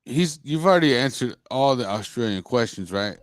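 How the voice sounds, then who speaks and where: A man's voice: mid-range voice, expressive, normal speed, no room sound. A man with an American accent hosting a casual podcast, with teasing, exasperated banter. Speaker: podcast host. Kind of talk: casual chat.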